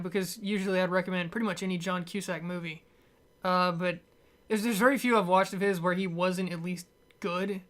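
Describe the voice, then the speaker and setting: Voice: medium pitch, a bit bright. A movie reviewer giving a casual, unscripted rant, rambling and blunt, with frequent chuckles.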